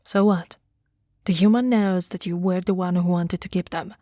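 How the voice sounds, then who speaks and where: A woman's voice: on the low side, steady tone, moderate pace, mellow, no room sound, clean studio sound, close to an everyday voice. A woman playing a grumpy, disdainful giantess with a blunt, grudging manner in an ASMR roleplay. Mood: blunt, defensive. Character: grumpy, disdainful giantess secretly softening toward tiny human pet.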